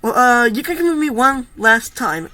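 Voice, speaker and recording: Higher-pitched, casual young female voice. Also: lively intonation, moderate pace, sharp tone. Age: late teens. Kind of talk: informal spoken pep talk.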